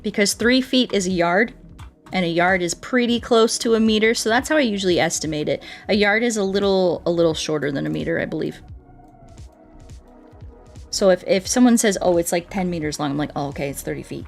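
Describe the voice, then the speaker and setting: A woman's voice: lowish voice, fairly quick, vocal fry. A young American woman chatting casually on a livestream, rambling along and acting out bits of what people said. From United States. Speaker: streamer. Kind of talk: casual livestream chat while gaming. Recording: music playing behind the voice. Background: background music.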